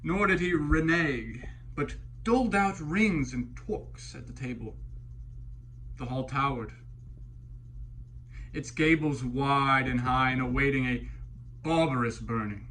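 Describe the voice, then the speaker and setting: A man narrating epic verse as a dramatic storyteller, solemn and theatrical, with deliberate pauses and swells. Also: medium pitch, a bit bright. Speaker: storyteller performing an epic poem. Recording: clearly noisy recording, background ambience.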